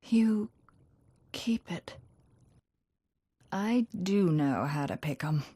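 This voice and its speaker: A woman voicing a wry, loyal female artificial intelligence in a video game, in an intimate, expressive manner. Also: lowish voice, extremely slow, husky, no room sound, full character voice. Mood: tender, wry. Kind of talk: video game cutscene acting.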